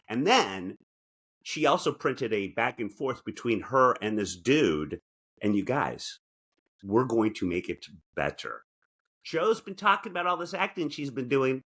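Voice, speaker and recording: Animated low-voiced man bantering. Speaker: podcast co-host. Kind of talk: casual comedic banter, reading aloud from articles.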